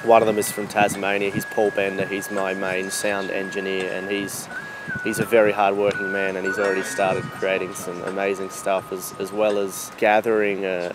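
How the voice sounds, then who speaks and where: Aussie guy doing casual promo chat, lowish voice, bit halting, self-deprecating, guitar playing behind. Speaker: writer presenting own radio project. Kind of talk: casual promotional talk to camera.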